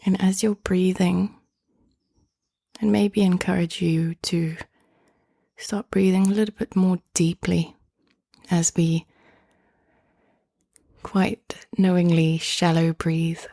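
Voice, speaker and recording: A woman hosting and leading a guided meditation in a soft, hushed, often half-whispered voice, with gentle and inviting phrasing. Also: lowish voice, steady tone, slow, gravelly, long pauses, no echo, studio quality.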